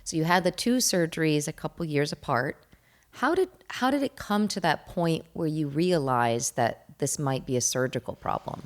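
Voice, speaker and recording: A woman, a doctor hosting an interview show, with a raspy voice, who is playful and friendly with her guest and explains medical terms plainly. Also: sits low, animated, normal speed. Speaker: podcast host, doctor. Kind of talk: hosted interview.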